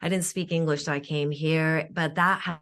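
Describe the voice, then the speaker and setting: A woman with a Japanese accent and a deep, low voice speaks as an interview guest. Japanese is her first language, and she explains things earnestly and at length, often repeating a word when she restarts a phrase. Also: fairly even intonation, moderate pace, a bit bright. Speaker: guest, functional nutritional therapist.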